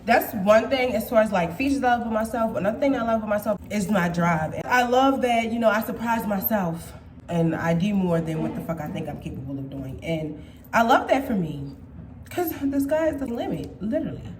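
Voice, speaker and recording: A woman's voice: mid-range voice, animated, fairly quick, echoey room, crowd noise. A woman in her twenties chatting casually and playfully to her viewers as a vlogger, swearing freely. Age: 20s. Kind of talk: casual chat.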